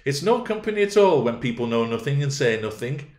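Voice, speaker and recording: A man's voice: medium pitch, big pitch swings, fairly quick, a little mellow, roomy sound, pitched above the performer's own voice, natural voice. A man voicing a haughty, easily irritated teenage girl with a peevish, scolding manner in an audiobook. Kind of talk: audiobook character voice.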